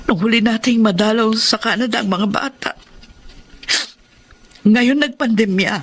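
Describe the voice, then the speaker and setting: A woman's voice: fairly high pitch, unhurried, a little hiss or hum. A woman playing a worried, longing middle-aged wife and mother in a Filipino radio drama. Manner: plaintive, emotional.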